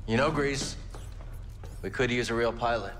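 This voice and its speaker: A man's voice: mid-range voice, moderate pace, a bit bright, big-room sound, slight background noise, close to an everyday voice. An actor playing an earnest, somewhat weary young man, a game hero who speaks in a terse, understated way. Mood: sincere. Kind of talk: video game cutscene acting.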